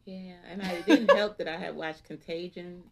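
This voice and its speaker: A woman's voice: on the low side, steady tone, normal speed, gravelly, crowd noise. A woman in her thirties co-hosting a casual podcast, telling stories with exaggerated indignation and laughing often. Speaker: podcast co-host. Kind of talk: casual chat.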